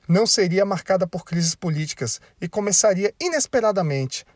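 A Brazilian man narrates his own video in a casual, jokey way. His voice sits slightly high, and he tosses in slangy asides. The recording is dry and close, with no room sound. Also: no room sound. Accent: Brazilian Portuguese. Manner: jokey, with slang asides and stumbles over names.

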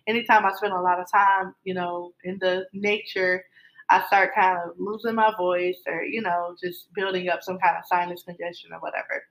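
A woman's voice: lowish voice, steady tone, normal speed, fairly bright tone, rough recording. A middle-aged woman casually telling her love story, rambling with many fillers and restarts.

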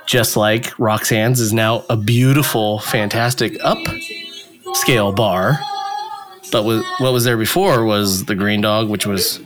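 A man co-hosting a casual chat from home, animated and joking, with an irreverent way of talking. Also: lowish voice, takes it slowly, music in the background. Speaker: podcast co-host. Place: home in Long Beach.

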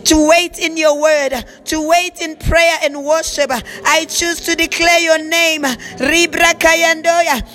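Woman leading prayer, high bright voice, even and steady, repetitive fervent declarations. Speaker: prayer leader. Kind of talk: spoken prayer with speaking in tongues.

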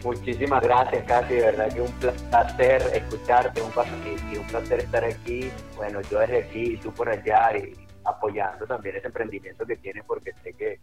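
A man's voice: lowish voice, flat delivery, normal speed, few pauses, a little mellow, faint music behind. A man in his fifties with a Caracas Venezuelan accent, a running club director talking as a guest, friendly and chatty with frequent restarts. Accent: Caracas Venezuelan Spanish. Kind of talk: interview guest, reminiscing.

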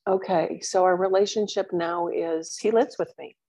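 A woman's voice: on the low side, fairly even intonation, moderate pace. A middle-aged woman talking openly as an interview guest, chatty and candid with frequent ums. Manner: chatty and open, with many ums and warm asides. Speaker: interview guest, coaching client.

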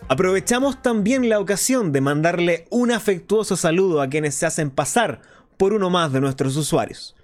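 An actor playing a flamboyant, sardonic underground radio host in a radio drama, talking in a grandiloquent, ironic, defiant way. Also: male voice, high-pitched, lively intonation, normal speed, soft background music. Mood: showman-like, sly. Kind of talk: theatrical radio drama monologue.

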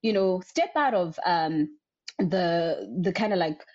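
A woman's voice: lowish voice, animated, takes it slowly, darkish tone. A young woman from South Africa, a guest answering interview questions casually, rambling with many ums. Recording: dull lo-fi sound.

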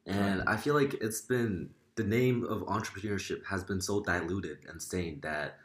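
A high school junior from Korea with an American accent, a guest chatting casually on a podcast. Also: male voice, normal speed, reverberant, very noisy. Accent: American English. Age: teens.

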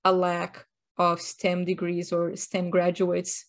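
A woman's voice: fairly low pitch, monotone, takes it slowly. Chatty, wry woman co-hosting a podcast. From Brazil. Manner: wry, joking, chatty explainer. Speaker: podcast co-host. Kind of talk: casual chat.

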